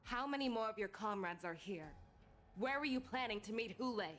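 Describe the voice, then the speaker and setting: A woman voicing a stern, resolute female general in a video game, measured and authoritative. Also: fairly high pitch, animated, fairly bright tone. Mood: commanding. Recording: game ambience noise behind voice.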